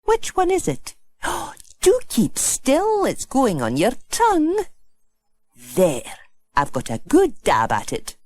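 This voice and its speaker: A woman's voice: sits high, wildly swooping pitch, slow pace, darkish tone, heavily acted. An older village postmistress played by a woman, kindly and fussing. She takes her time over each phrase and swoops widely up and down, in children's storybook style. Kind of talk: children's storybook audiobook acting.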